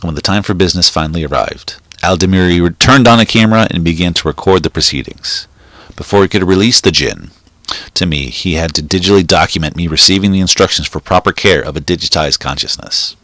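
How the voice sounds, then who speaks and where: A man reading a short story aloud in a steady, measured way, with the odd small slip. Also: deep voice, no echo. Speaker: reader.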